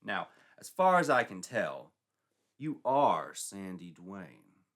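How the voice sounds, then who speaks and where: A man voicing a stern, suspicious police sergeant in a story, measured and grave as he interrogates. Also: sits low, sing-song, a bit bright, heavily acted.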